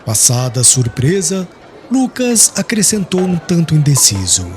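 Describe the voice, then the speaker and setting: A man's voice: a little high, huge pitch swings, unhurried, a little mellow, faint music behind. A man narrating an audiobook in a solemn, literary style.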